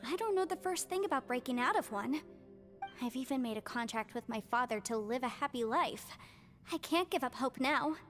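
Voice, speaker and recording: A woman playing an earnest, gentle, upbeat young character in a video game. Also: high-pitched, animated, normal speed, faint music behind. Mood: wistful, hopeful.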